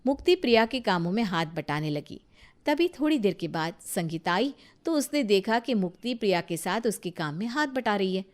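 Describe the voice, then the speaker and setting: A woman's voice: medium pitch, expressive, moderate pace. A woman storyteller reading scripted narration for a family moral tale.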